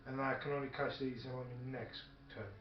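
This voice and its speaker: A man chatting casually and haltingly as he plays a tabletop wargame, thinking aloud. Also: medium pitch, fairly even intonation. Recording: roomy, dull, very noisy room recording. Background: radio playing in the background.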